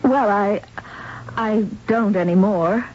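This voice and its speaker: A dull, noisy vintage radio drama recording features a young woman played by an actress. She has a medium-pitched voice and speaks sincerely and a little hesitantly, as an earnest heroine in mourning. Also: slow, darkish tone, lightly acted. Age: young adult. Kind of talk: 1970s radio drama acting with first-person narration.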